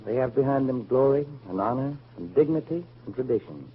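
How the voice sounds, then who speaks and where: On a tinny, narrow vintage radio recording, an idealistic grown son. His husky, mid-pitched voice delivers formal, earnest lines in 1940s radio style. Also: lively intonation, fairly quick.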